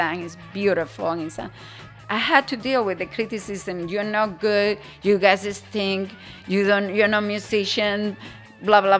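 An older woman from Spain with a Spanish accent, talking casually and animatedly about her life as a guest in an interview. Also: mid-range voice. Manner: animated, imitates drum beats with her mouth. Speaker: guest musician.

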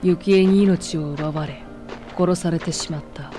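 A woman performing the solemn scripted narration of a dark winter fairy tale in a game. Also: fairly low pitch, fairly even intonation, brisk, close to an everyday voice. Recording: loud game music behind.

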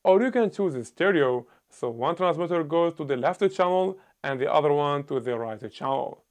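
A man in his thirties talks loudly and emphatically through a scripted review of camera gear. His voice sits a little higher than most men's. It is picked up by a clip-on lapel mic on a small indoor set. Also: expressive, normal speed. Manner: loud, emphatic delivery.

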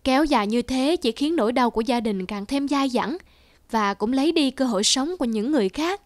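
A woman voicing a compassionate, grave senior male doctor who speaks formally and measuredly in an animated moral story. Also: high-pitched, a little fast, no room sound. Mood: gently persuasive.

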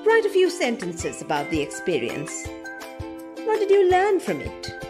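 A young woman reading to children as a storyteller, deliberate, teacherly and very expressive. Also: fairly high pitch. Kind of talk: scripted children's read-aloud and questions. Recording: music behind. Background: music.

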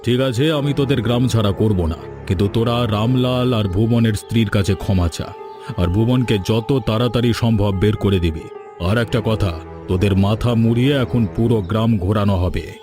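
A man's voice: on the low side, moderate pace, music in the background, natural voice. A man voicing a righteous village elder in a cartoon fairy tale, scolding with solemn emphasis. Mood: stern, judging. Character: stern, righteous village elder judging wrongdoers.

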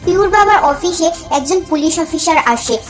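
A woman's voice: very high voice, lively intonation, normal speed, faint music behind. A woman narrating a scripted cartoon story as its storyteller.

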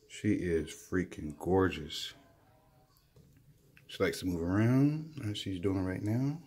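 A man filming a casual home vlog, excitedly showing a new pet and saying he is sweating while he handles it. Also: low-pitched, husky. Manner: excited, admiring, unhurried. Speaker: reptile keeper vlogger. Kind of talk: casual handheld show-and-tell vlog. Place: indoors at home, by a table. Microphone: handheld phone camera, off-screen speaker.

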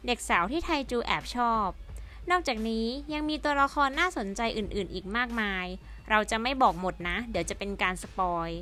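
High-pitched woman narrating an educational video. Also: big pitch swings, a little fast, bright, forceful tone, a little hiss or hum. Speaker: host and narrator.

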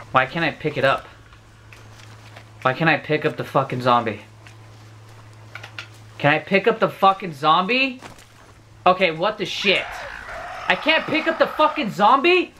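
Young man yelling at a game. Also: high voice, a little hiss or hum. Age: 20s. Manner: loud, profane, yelling at the game. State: losing his voice. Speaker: gamer. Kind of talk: let's play commentary. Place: bedroom, indoors.

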